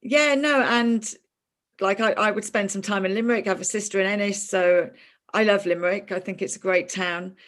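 A woman hosts a relaxed podcast chat with a friend. Her voice sits in a middle register. She talks loosely and keeps restarting her sentences. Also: medium pitch, moderate pace. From moved to Ireland in 2000. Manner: chatty, warm with a friend, many fillers and restarts. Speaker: podcast host. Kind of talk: casual interview chat.

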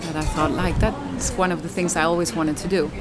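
A woman's voice: fairly low pitch, expressive, a little fast, over loud music. A woman from the Netherlands with a Dutch accent, answering casually as an interview guest. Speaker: interview guest, yoga teacher. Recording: crowd chatter behind.

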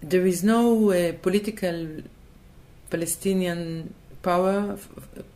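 A woman's voice: lowish voice, steady tone, slow, dark tone. A woman from Israel, answering in a thoughtful interview and hesitating and restarting as she looks for words. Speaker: interview guest.

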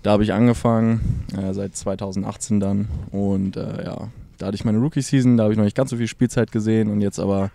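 A 19-year-old man answers briefly and easily. His voice is low and gravelly, with a dark, warm tone. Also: fairly even intonation, a little fast, hardly any pauses. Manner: laid-back, brief replies. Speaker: junior football player guest. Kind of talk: interview answers.

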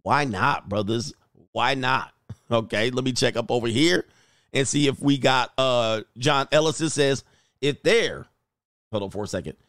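A man's voice: mid-range voice, unhurried, a bit bright, dry recording, studio quality. A man hosting a casual livestream as a dating coach, reading viewer comments aloud in a joking, rambling way and laughing often. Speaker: dating coach and show host.

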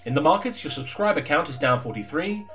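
An actor playing a solemn comedy newsreader, reading a mock bulletin in a deadpan, formal manner. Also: male voice, on the high side, fast talker, soft background music.

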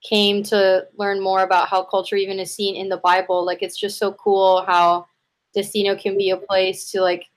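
Woman host, flat delivery, affirming and casual with lots of fillers. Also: medium pitch, moderate pace. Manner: warmly affirming, with many "like" fillers. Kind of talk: casual podcast chat.

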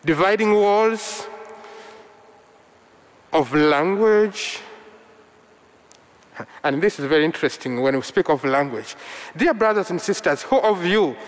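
A man from Uganda whose first language is Luganda, preaching a sermon in slow, measured short phrases. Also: high-pitched, animated. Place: church. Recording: long reverb of a large hall.

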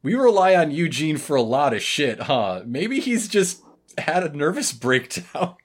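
Casual podcast co-host, mid-pitched and lively, with a dry, joking edge. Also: a little slow, husky. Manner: wry, joking. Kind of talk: casual humorous chat recapping an old cartoon.

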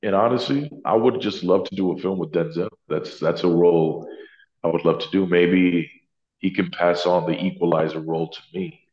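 A man with a deep baritone voice, talking earnestly as an actor guest in a casual interview, often pausing to correct himself. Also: a little mellow. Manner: earnest, thoughtful, self-correcting. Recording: roomy, slightly dull sound.

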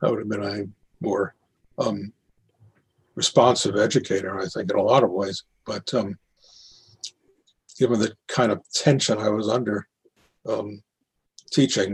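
An older playwright and former teacher reflecting on his work in a halting manner, with long pauses and a rough voice. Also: fairly low pitch, a little hiss or hum. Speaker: playwright and retired educator. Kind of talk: reflective discussion of own work.

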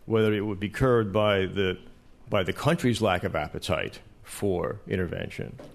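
Low-voiced analytical man on a panel. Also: vocal fry. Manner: measured, analytical, builds long qualified sentences. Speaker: panelist, international relations professor. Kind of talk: extemporaneous political analysis on a panel. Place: live event space before an audience.

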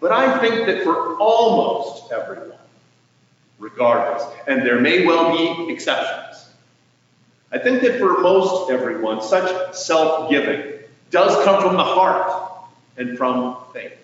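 A man's voice: fairly high pitch, very animated, slow, fairly bright tone. Male preacher in a very echoey hall. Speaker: pastor preaching. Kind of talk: sermon. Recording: distant mic in echoey hall, dull, faintly noisy.

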